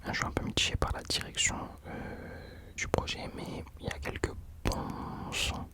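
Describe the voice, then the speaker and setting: A man hosting ASMR in an unvoiced whisper, chatting informally and hesitantly, correcting himself as he goes. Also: a little slow. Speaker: ASMR host. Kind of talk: whispered ASMR chat about rappers.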